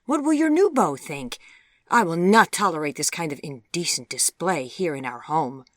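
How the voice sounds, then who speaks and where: A woman's voice: low-pitched, animated, moderate pace, no echo, studio quality, pitched above the performer's own voice. A woman voicing a stern, indignant middle-aged mother in a storybook, scolding her daughter in formal, emphatic words.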